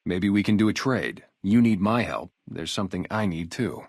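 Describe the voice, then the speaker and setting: Game role: ex-gang sniper on guard duty, male, low register, lively inflection, casual street manner, guarded but loyal. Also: normal speed, dry recording, studio quality. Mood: earnest, friendly. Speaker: security guard and former soldier. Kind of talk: video game character dialogue, naturalistic.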